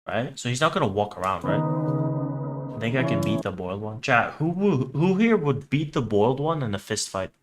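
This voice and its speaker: A man's voice: mid-range voice, expressive, brisk, over loud music. A man hosting a reaction livestream, chatting casually and bluntly with viewers, sometimes swearing and sometimes reading text aloud. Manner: sweary, blunt, teasing banter with chat. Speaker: livestream host reacting to horror videos. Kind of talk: casual stream chat mixed with reading on-screen text aloud.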